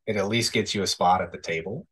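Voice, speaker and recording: A man in his thirties, a podcast guest, giving measured, thoughtful answers with frequent ums over a video call. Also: sits low, a bit bright, slightly rough. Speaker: podcast guest, real estate investor. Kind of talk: casual interview answers. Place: indoor room, video call.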